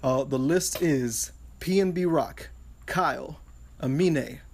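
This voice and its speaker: A man's voice: a little high, slow pace, a little mellow. A young man hosting a casual podcast panel outdoors at night, laid-back and steering the chat. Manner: laid-back, hosting over side chatter. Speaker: podcast host.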